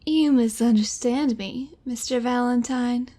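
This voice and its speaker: A mellow, high female voice an actress in role as a mysterious rich widow in a noir audio drama. She is cool and poised, taking her words very slowly. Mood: cool, sultry. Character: cool, mysterious, wealthy femme fatale widow.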